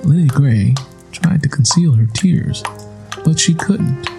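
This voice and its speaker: A man reading scripted literary fiction as an audiobook narrator, in a measured, solemn storytelling manner. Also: fairly high pitch, lively intonation, vocal fry, over music.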